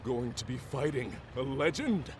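An actor playing a hot-headed, combative army general in a video game, forceful and exclamatory. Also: male voice, medium pitch, lively intonation, measured pace, background noise. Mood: eager, combative. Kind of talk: cartoonish video game acting.

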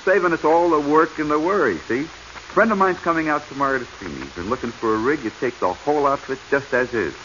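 A man's voice: fairly high pitch, expressive, fast talker, a bit bright. An actor playing an easygoing, eager male sidekick in 1940s radio style, folksy and chatty, often repeating himself. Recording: muffled 1940s radio, faint hiss.

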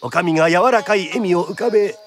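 An actor narrating a period radio drama as a theatrical kodan storyteller, formal and declamatory. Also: male voice, high-pitched, a little fast, faint music behind. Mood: dramatic narration. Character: lively traditional storyteller narrating a period tale.

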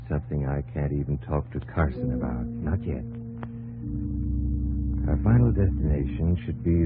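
An actor playing an earnest, driven army captain and explorer in a 1950s radio drama. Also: male voice, extremely low pitch. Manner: measured diary narration alternating with urgent dialogue. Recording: muffled, narrow-band 1950s radio recording with music.

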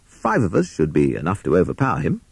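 A man voicing a self-important, bossy captain of an animal band, brisk and pompous, in a children's story. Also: low-pitched, huge pitch swings, vocal fry, slightly rough, no room sound, full character voice. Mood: self-assured.

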